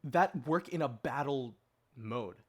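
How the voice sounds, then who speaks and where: A man's voice: medium pitch, lively intonation, takes it slowly, no room sound. A man hosting a podcast in a casual chat, weighing up options. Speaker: podcast host.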